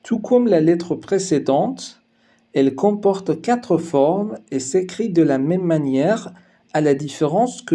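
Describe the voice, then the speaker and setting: A man walks learners through a language lesson slowly and methodically, pausing with small hesitations. His voice sits a little high but sounds mellow and warm. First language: Persian. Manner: slow, careful, explanatory delivery with hesitation fillers. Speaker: language teacher.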